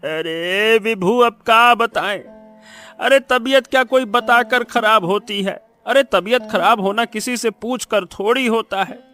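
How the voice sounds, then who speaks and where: An actor playing a wheedling, scheming village brother with a coaxing, evasive manner in a cartoon story. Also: male voice, high voice, unhurried, bright, forceful tone, soft background music.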